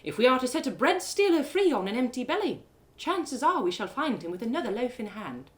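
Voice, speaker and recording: Woman voicing a lofty, commanding noblewoman, animated pitch. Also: fairly quick. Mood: gracious. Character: genteel, authoritative noblewoman interrogator, courteous and firm. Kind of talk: one-woman audio drama, fantasy story.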